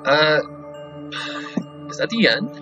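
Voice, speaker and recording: A man reacting to a story, excitable and thinking out loud, swearing now and then. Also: fairly high pitch, takes it slowly, music in the background. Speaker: reaction video host. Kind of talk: casual reaction commentary. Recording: dull lo-fi home recording.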